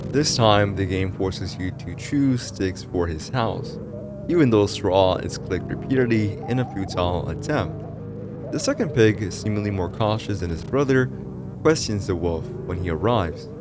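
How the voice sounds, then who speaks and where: A man with a fairly low voice narrates a scripted explainer of a horror tale. His delivery is dramatic and ominous, like a storyteller setting out a dark account. Music plays clearly underneath his voice. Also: animated, moderate pace. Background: music behind the voice.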